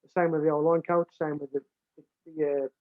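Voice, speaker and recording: A man's voice: on the high side, little pitch movement, fast talker, no echo. A British man with a big voice, a football coach explaining how he develops his staff in plain, casual talk, often restarting and repeating words. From United Kingdom. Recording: dry, tinny phone-like line.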